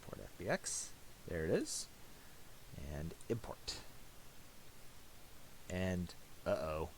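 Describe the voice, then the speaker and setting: A man narrating a casual, unscripted software tutorial, halting and thinking aloud as he goes. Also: sits low, big pitch swings, low-quality recording. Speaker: tutorial presenter. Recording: faint hiss and mouse clicks.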